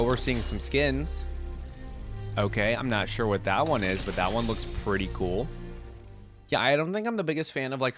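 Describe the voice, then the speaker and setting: A man congested from a cold, giving casual, informal reaction commentary as a video host, thinking aloud as he goes. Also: medium pitch. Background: loud music from the videos watched.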